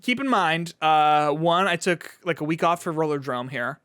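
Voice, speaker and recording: A man's voice: fairly high pitch, normal speed, a bit bright, clear, resonant tone, dry recording, studio quality. A man co-hosting a podcast, chatting casually about video games in an informal, hedging way peppered with "like". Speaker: podcast co-host.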